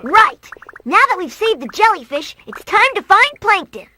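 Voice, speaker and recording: A woman voicing a confident, commanding female squirrel leader in a cartoon video game, brisk and plucky. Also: very high voice, sing-song, sharp tone, no room sound, heavily acted. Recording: very noisy game cutscene capture.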